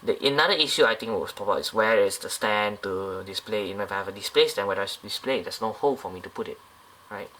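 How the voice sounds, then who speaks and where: A man's voice: on the low side, fast talker, pushed and bright, a little hiss or hum, poor audio. A man casually reviewing a scale model airliner up close, talking in a loose, rambling way with many fillers and restarts.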